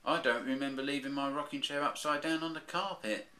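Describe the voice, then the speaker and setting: A man's voice: mid-range voice, fairly even intonation, fairly quick, sharp tone, echoey room, pitched above the performer's own voice, close to an everyday voice. A man voicing a fussy, house-proud mother bear in a comic storybook reading. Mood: prim.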